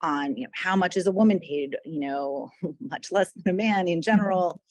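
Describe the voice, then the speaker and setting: A woman's voice: lowish voice, moderate pace, darkish tone, no echo. A woman co-hosting a podcast interview, building her questions conversationally with "right?" checks. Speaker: podcast co-host.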